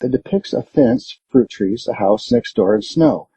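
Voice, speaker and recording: A man reading scripted lesson texts aloud for English learners in a very husky, gravelly voice. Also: lowish voice, measured pace, warm tone, no room sound. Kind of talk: scripted read-aloud narration. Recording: dull, muffled, dry recording.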